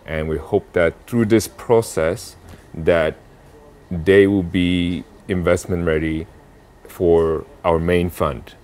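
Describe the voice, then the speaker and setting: A young adult man from South Korea answering interview questions about impact investing, pausing often with fillers and restarts. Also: low voice, steady tone, darkish tone. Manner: halting, many fillers and restarts.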